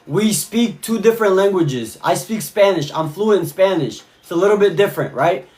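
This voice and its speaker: A man's voice: high voice, animated, moderate pace, darkish tone. A man from Cuba talking casually on a live stream, reading chat messages and answering viewers, often repeating his phrases. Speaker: livestreamer.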